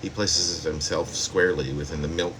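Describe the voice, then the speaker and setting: A man's voice: deep voice, steady tone, moderate pace, fairly bright tone, slight background noise, rough recording. A man reading his own poem aloud as a poet, in a spoken poetry-reading style.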